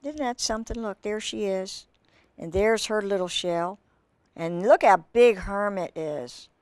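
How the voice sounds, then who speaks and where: A woman's voice: mid-range voice, unhurried, a little mellow, dry recording. A woman reading a picture book aloud to children, expressively, stopping to ask them questions and exclaim over the pictures. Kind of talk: expressive read-aloud with asides to young listeners.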